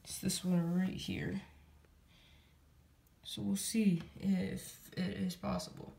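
A woman's voice: lowish voice, level tone, slow pace, creaky, mellow. A woman giving a casual vlog update to viewers in a hesitant, rambling way, recorded on a handheld device in a room at home. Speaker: hobby video creator. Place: small room at home, indoors. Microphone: handheld camera or phone mic. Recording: roomy, distant mic, light noise.

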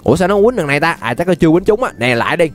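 A young man with a southern Vietnamese accent giving excited, exclamatory gameplay commentary, often repeating phrases as he reacts. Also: on the high side, rapid-fire. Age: young adult. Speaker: gaming YouTuber, host. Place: indoors at a gaming setup. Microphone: desk microphone close to the face.

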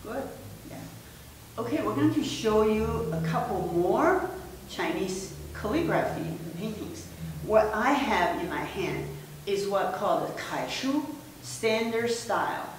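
A woman's voice: medium pitch, animated, measured pace. Woman in an echoey room. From Taiwan. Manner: friendly, explains with many fillers and gestures. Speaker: museum director presenting. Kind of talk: casual gallery presentation. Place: indoor museum room. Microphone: far camera mic. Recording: echoey room, mic far off, faint hiss.

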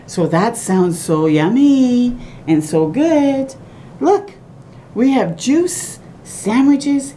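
A middle-aged woman reading a children's picture book aloud with asides, in simple sing-song phrasing addressed to little ones. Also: mellow. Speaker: children's storytime reader. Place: small room at home, by a window. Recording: clear background noise with low rumble.

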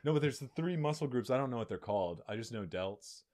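A young man hosting a comedy podcast in a small studio, riffing with deadpan jokes and quick interjections at a desk mic. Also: medium pitch. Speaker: podcast host. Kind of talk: casual podcast chat. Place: small studio with brick backdrop. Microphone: desk mic on boom arm.

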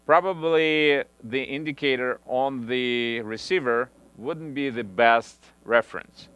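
A man in his thirties demonstrating audio gear in a small home studio, talking through the steps in halting phrasing with frequent restarts, on a headset mic. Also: medium pitch, fairly bright tone, clean tone, no room sound. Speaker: audio equipment reviewer. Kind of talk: hands-on equipment demonstration.

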